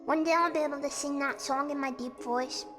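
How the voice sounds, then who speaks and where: A woman with a girlish, slightly croaky voice chatting casually on a livestream in a playful, self-deprecating way. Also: fairly even intonation, fairly quick. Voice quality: high, girlish voice. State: slightly croaky and sniffly from singing. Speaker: livestreamer hosting a chat and karaoke stream. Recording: music behind the voice. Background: music.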